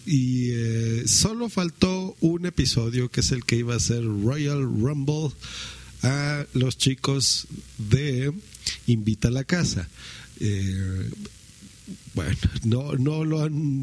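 A man commenting casually as a podcast host, often groping for words, in a husky voice. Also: mid-range voice, slow, a little mellow.

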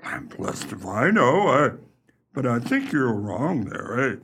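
A man acting a blustering, slow-witted chief constable in a radio mystery. His voice is gravelly and husky, swinging widely in pitch as he speaks in plain, hesitant phrases. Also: very animated, fairly bright tone, full character voice. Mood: baffled, impressed. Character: unimaginative, easily astonished male chief constable.

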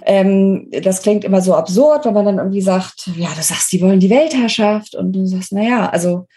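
A woman's voice: mid-range voice, moderate pace, mellow. A woman, explaining things as an interview guest in an emphatic, colloquial and articulate way. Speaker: guest author interviewed about her book. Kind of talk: conversational podcast interview, explanatory.

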